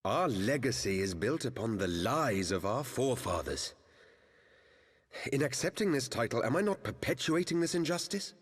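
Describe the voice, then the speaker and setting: An actor with a British accent playing an earnest, burdened young noble knight in a video game cutscene, speaking in formal, archaic phrases. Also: male voice, medium pitch, very animated, moderate pace, breathy edge. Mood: troubled, solemn. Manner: formal, archaic, courtly speech. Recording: long hall reverb.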